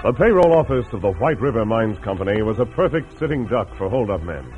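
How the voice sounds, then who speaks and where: A man's voice: fairly low pitch, very animated, fairly quick. Male announcer, dramatic vintage western radio narration, thin phone-like sound, organ behind. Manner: dramatic, declamatory.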